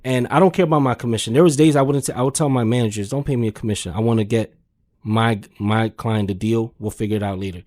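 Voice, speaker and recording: A man's voice: medium pitch, fairly even intonation, fast, darkish tone. A man talking casually as a podcast guest, in an emphatic, slangy, free-swearing way full of verbal tags. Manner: slangy, emphatic, swears freely, peppers talk with "man" and "you know". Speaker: podcast guest, car sales manager. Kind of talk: casual conversational storytelling.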